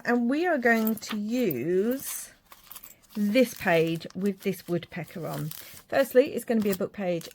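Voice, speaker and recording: A woman from Ireland, talking casually to her viewers while she works on a craft project, rambling a little and poking fun at her own drawing skills. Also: on the high side. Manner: chatty, self-deprecating, rambling with fillers. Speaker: crafting vlogger. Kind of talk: casual craft tutorial chat.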